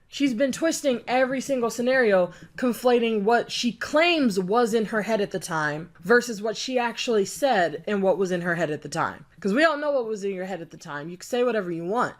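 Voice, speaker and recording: Exasperated woman ranting at a clip. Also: medium pitch. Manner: exasperated, sarcastic, argumentative. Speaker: commentary youtuber. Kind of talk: reaction rant over a clip.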